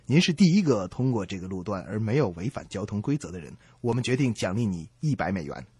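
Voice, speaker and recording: A man voicing a formal, measured traffic policeman in a comic radio sketch. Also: lowish voice, sing-song, a little mellow, breathy edge, no echo, pitched below the performer's own voice, heavily acted. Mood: official, solemn.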